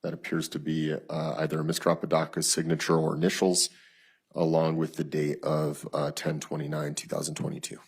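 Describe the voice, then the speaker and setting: A man with an American accent giving formal, halting, matter-of-fact courtroom testimony as a police detective. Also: very deep voice, completely flat delivery, gravelly, slightly rough.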